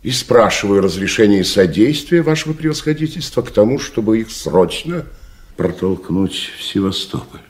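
An actor playing a formal, officious deputy minister in a radio play, speaking in stiff bureaucratic phrases. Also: male voice, lowish voice, animated, moderate pace, lightly acted. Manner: formal, bureaucratic, flustered when refused. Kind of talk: archival radio play acting.

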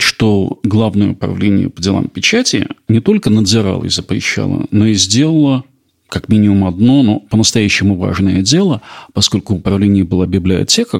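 A male podcast host delivers scripted narration in a measured, explanatory manner. His voice is lowish and creaky, with a husky edge. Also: darkish tone.

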